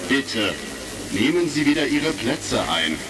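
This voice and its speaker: A formal senate chairman speaks slowly and courteously. It is a retro sci-fi radio play, slightly distant, with crackly vintage noise. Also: medium pitch, very animated. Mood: insistent. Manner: formal, ceremonious. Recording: crackly vintage vinyl-style recording, distant.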